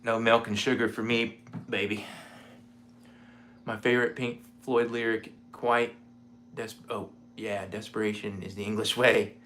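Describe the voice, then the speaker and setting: A man in his forties chatting casually on a home livestream, rambling with lots of fillers, with a mellow voice. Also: on the low side, a little slow, a little hiss or hum. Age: 40s. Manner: rambling, relaxed, many fillers. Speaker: livestreaming guitarist. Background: guitar playing.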